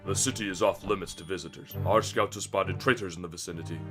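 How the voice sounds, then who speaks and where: An actor playing a stern, officious guard at an ancient city gate in an audio drama, brusque and formal. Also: male voice, sits low, fairly even intonation, fast, music in the background, natural voice. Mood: stern, suspicious.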